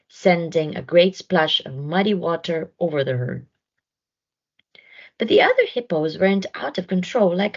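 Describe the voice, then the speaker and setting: A woman reading a children's story aloud in an expressive narration style, on a slightly dull recording. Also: fairly low pitch. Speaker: storybook reader.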